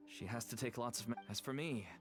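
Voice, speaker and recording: An actor playing a weary middle-aged merchant father turned smuggler in a video game, speaking in a measured, earnest way. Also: medium pitch, close to an everyday voice. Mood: sorrowful pleading. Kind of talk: video game dialogue acting. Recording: game music behind.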